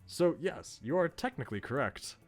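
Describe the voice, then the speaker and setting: A man's voice: fairly high pitch, very animated, moderate pace, voice pushed up. A man reading aloud a visual novel's bookish male student, articulate, philosophical and politely opinionated. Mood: musing, conceding. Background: music.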